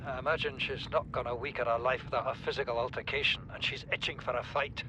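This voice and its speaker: A man's voice: fairly even intonation, fast talker, close to an everyday voice. A man playing an overbearing, worried middle-aged father and expedition chief in a video game, speaking with indignant authority. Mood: stern, weary. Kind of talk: dramatic game voice acting. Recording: clear rumbling vehicle-like ambient noise.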